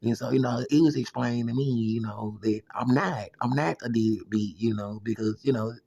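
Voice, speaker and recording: Gravelly husky man rambling. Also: mid-range voice, moderate pace, warm tone, no room sound, lo-fi sound. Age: 30s. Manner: rambling, repeats "you know" constantly. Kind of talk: rambling personal monologue.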